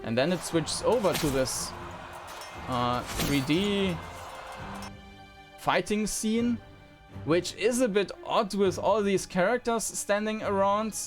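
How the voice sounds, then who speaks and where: Slow-talking man over game music. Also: high voice. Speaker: game reviewer commentator. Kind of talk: unscripted preview commentary over gameplay.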